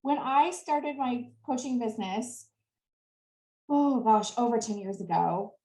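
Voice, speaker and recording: A woman with a fairly high voice speaks as a sales coach in an interview. She explains earnestly and laughs easily. Her voice sounds roomy, with an echo around it. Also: unhurried, dark tone. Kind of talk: interview answers. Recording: echoey room.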